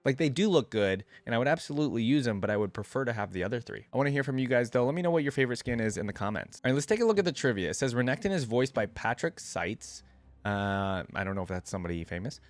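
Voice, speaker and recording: A man's voice: mid-range voice, animated, quick pace, no echo. A man giving casual reaction commentary in a conversational way, thinking aloud and sharing his opinions. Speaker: reaction video creator.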